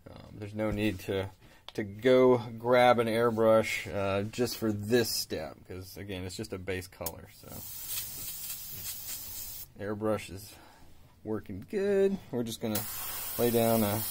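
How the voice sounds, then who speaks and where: A man in his thirties, a bit raspy from a cold, calmly talking through a miniature painting tutorial with plenty of fillers. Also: fairly low pitch, a little hiss or hum. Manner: relaxed, hesitant with fillers, explains while working. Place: hobby shop workbench indoors.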